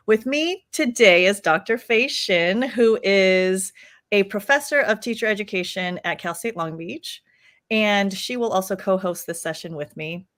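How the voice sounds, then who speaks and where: A woman hosting a live online book talk, welcoming viewers and introducing the guest in a clear, organized way. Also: medium pitch, a little slow, fairly bright tone. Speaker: host and co-director.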